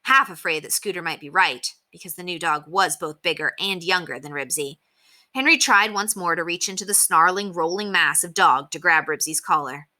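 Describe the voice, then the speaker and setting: A woman, a teacher, reading a children's chapter book aloud with expressive storytelling. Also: fairly low pitch, moderate pace. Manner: expressive storytelling with softer narration.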